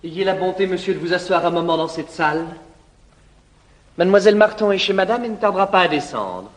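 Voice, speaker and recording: A man's voice: sits high, lively intonation, brisk. A man playing an eager, chatty servant in a classic stage comedy, fussily polite. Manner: fussy, overeager politeness. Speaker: actor in radio play.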